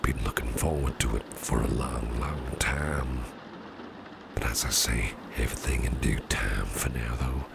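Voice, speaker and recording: Casting: male actor for doting boss in ASMR roleplay, soothing and genteel, breathy bottomless-low murmur, unhurried delivery. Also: steady tone, very noisy, exaggerated voice. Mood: tender, lulling. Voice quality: breathy, half-whispered, very deep gravelly murmur. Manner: slow, soothing, repeats reassurances, genteel phrasing. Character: doting, reassuring businessman boss, soothing and flirtatious. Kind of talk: ASMR personal-attention roleplay.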